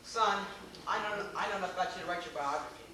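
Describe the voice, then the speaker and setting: Guy playing wry formal dad in a stage comedy, talks quick, very echoey hall. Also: high voice, sharp tone. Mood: jovial. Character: dry, courtly middle-aged father, wry and jovial. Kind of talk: stage acting in a one-act comedy. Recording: echoey theater, distant camera, faint hiss.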